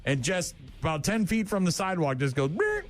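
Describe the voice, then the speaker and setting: A middle-aged man hosting a morning radio show, telling stories in blunt, joking banter that gets animated. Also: sits high, fairly quick, a little hiss or hum. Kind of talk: casual on-air banter.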